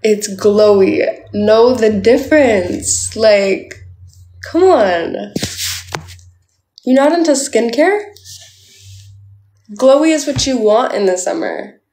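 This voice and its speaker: A woman's voice: on the high side, animated, slow pace, pauses often, dark tone, roomy sound, soft background music. Chatty young woman on a phone livestream. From Canada. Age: young adult. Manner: chatty, playful, lots of "like" fillers. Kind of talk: casual live chat with viewers. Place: indoors, small room. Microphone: phone at arm's length.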